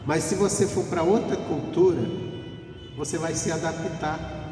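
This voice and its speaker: A man's voice: a little high, steady tone, a little slow. A middle-aged man preaches informally as a pastor, telling anecdotes conversationally and repeating phrases for emphasis. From rural Pernambuco, Northeast Brazil. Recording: echoey hall, distant mic, faint music.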